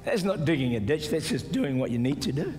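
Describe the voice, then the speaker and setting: An older man preaching a sermon as pastor, exhorting the congregation, sometimes shouting and mimicking doubters in a higher voice. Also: very animated, brisk. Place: large church auditorium with stage. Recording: live church hall reverb with music. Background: soft music and congregation responses.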